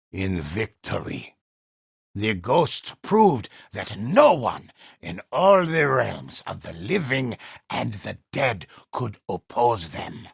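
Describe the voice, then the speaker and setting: Old male storyteller for a game: solemn, gravelly, dramatic narration with wide swoops in pitch. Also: no echo, clean studio sound, heavily acted. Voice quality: husky, gravelly. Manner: solemn, ominous, theatrical.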